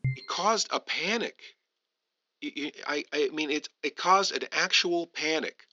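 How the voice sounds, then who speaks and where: A man's voice: fairly high pitch, a little slow, bright, forceful tone, lo-fi sound. A man giving rambling, casual podcast commentary, leaning heavily on filler phrases as he talks. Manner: rambling, heavy use of "you know". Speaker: podcast host.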